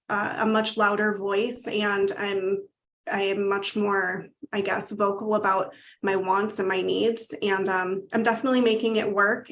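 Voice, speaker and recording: A woman in her thirties speaks as a guest in a casual interview, her voice at an ordinary middle pitch. She talks earnestly and openly, with frequent "um" fillers as she gathers her thoughts. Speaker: guest, company founder.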